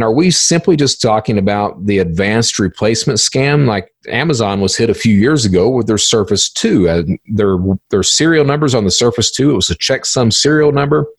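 A man's voice: on the low side, breathy edge, no echo. A man hosting a podcast in a casual interview style, speaking bluntly and colloquially with some swearing. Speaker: podcast host.

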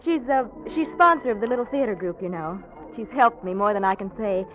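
An actress playing a warm, earnest, gently teasing young American woman in 1940s radio drama style. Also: medium pitch, a little fast, lightly acted. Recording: dull old radio recording, music behind.

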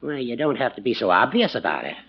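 A man's voice: medium pitch, big pitch swings, quick pace, slight background noise. A man playing a teasing older judge in 1940s radio comedy style, with a reedy voice and a cackle. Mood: coaxing. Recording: muffled old radio recording.

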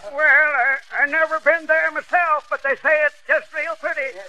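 An actor playing a hard-of-hearing, chuckling old codger who repeats himself and mishears, in 1940s radio comedy style. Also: male voice, very high voice, little pitch movement, normal speed, sharp tone, exaggerated voice. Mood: amused. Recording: noisy old radio recording.

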